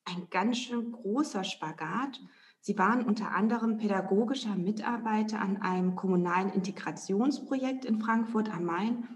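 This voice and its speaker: A woman co-hosting an educational podcast, reading measured introductions and questions. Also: mid-range voice, darkish tone. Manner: measured, reads guest introduction. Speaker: podcast co-host. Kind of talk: scripted podcast moderation.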